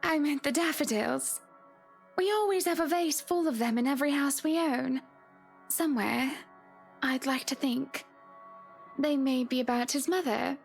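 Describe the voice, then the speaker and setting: A genteel, well-to-do lady, composed and polite in her refined phrasing. Her voice sits high, with soft music behind it. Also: a little slow, gravelly, long pauses. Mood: fond, reflective. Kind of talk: video game voice acting.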